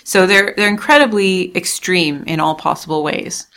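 Woman scientist, kinda low voice, eager, explains stuff, restarts a lot. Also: normal speed, fairly bright tone. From Canada. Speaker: astrophysicist guest. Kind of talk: interview answers.

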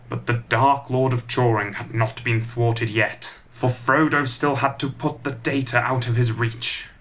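A man delivers scripted pantomime narration. His mid-pitched voice is bright and forceful, phrased deliberately like dramatic storytelling.

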